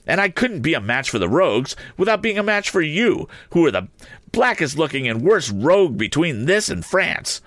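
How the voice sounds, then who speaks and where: A man doing a shrill, shrieking shrew of a wife. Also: big pitch swings, normal speed, fairly bright tone, dry recording, clean studio sound. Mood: scolding. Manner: screaming, scolding.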